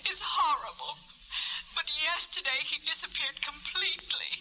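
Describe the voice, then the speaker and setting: An actress playing a desperate, pleading wealthy wife in a 1940s radio melodrama. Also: female voice, high voice, normal speed, very noisy, natural voice.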